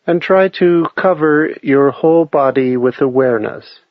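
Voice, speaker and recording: A man leading a guided meditation with calm, measured instruction and long pauses between phrases. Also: fairly high pitch, no echo, lo-fi sound.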